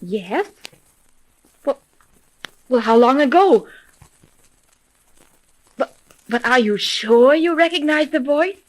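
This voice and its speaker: A woman's voice: sits high, expressive, slow pace, long pauses, exaggerated voice, rough recording. Composed lady private detective. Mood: urgent. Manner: composed, earnest, businesslike. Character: brisk, level-headed woman private detective running an agency. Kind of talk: 1930s radio detective serial acting.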